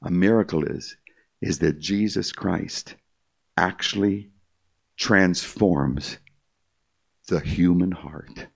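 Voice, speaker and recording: A man's voice: sits low, slow pace, vocal fry, long pauses, slightly rough. An older man giving an emphatic, repetitive testimony speech to a live audience, calling his listeners "my friend.". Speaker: concert pianist giving testimony.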